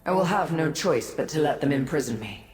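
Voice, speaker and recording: A woman's voice: deep voice, expressive, brisk, darkish tone. A woman playing a proud, bitter Daedric prince in a fantasy video game, speaking solemnly and formally with an imperious manner. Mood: despairing. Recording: roomy echo with long reverb, music behind.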